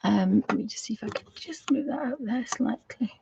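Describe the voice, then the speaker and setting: A middle-aged British woman with a slightly croaky voice, giving a casual craft tutorial in a rambling, homely way, thinking aloud as she goes. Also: on the high side, normal speed, muffled recording, noisy background. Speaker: watercolour craft tutor hosting a live session.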